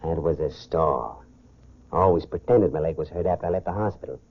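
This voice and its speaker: An actor playing a wily, boastful convict and fugitive killer in a 1940s radio crime drama. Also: male voice, a bass voice, fairly quick. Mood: sly, confident. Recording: muffled 1940s radio broadcast recording.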